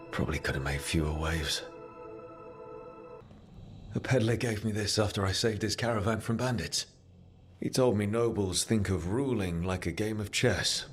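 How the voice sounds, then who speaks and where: Over game music, a low-pitched young male hero speaks. He is a rebel assassin mage, confident and kind, with a wry self-assurance suited to reflective fantasy game monologue. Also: gravelly.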